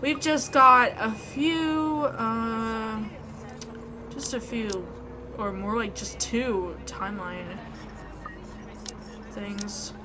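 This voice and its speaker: A woman's voice: fairly high pitch, drawn-out words, long pauses, background noise. A woman giving let's play commentary, reading visual novel narration aloud and reacting in a casual way. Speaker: video game streamer.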